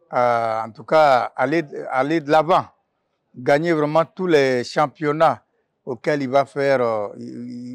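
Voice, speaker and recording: A man, speaking as a university president in a formal, halting congratulatory address. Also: medium pitch, no room sound, clean studio sound.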